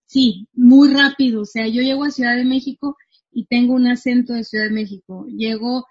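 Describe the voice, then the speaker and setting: A woman's voice: a little high, normal speed, highs cut off. A woman, a marketing coach chatting casually as a guest from her home. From northwestern Mexico. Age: 30s. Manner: chatty, animated, laughs easily. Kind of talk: casual interview chat.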